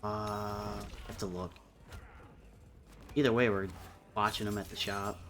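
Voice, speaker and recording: A man's voice: on the low side, fairly even intonation, measured pace, a bit bright, a little hiss or hum. A man chatting casually on a livestream as a co-host, talking bluntly and swearing often. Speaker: co-host of a livestreamed round table. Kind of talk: casual chat about video games.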